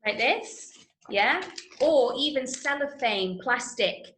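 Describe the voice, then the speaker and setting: High-voiced English woman teaching, roomy sound. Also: lively intonation, slow, a bit bright, a little hiss or hum. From Manchester, England. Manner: encouraging, frequent checking "yeah?" and "okay?". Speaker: workshop teacher of radio drama. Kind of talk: online lesson to schoolchildren. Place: indoor room, online video call. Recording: roomy, echoing online-call sound.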